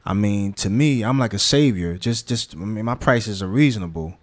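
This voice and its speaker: Low-voiced man with a laid-back slangy drawl. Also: normal speed. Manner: relaxed slangy talk with many restarts and fillers. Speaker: magazine founder. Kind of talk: casual interview conversation.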